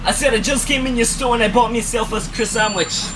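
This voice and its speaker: Casting: cranky elderly male veteran on a prank call acted by a young man, clear vehicle rumble behind. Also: steady tone, quick pace, crowd noise. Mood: loud, insistent. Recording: noisy moving bus, engine rumble.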